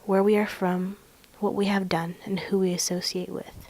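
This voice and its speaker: Raspy young woman telling her story. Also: medium pitch, level tone, moderate pace, a little mellow, slight background noise. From Belarus. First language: Russian. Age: young adult. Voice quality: raspy, stuffy voice. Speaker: student. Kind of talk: scripted personal narration. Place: outdoors at a balloon festival.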